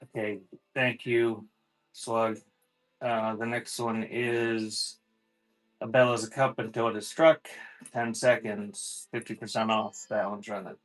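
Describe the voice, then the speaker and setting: A man's voice: fairly low pitch, little pitch movement, takes it slowly, fairly bright tone, low-quality recording. A man hosting a live online auction, announcing items in an informal, off-the-cuff sales patter. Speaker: host of a live online auction selling cassette tapes. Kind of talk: casual livestream sales patter.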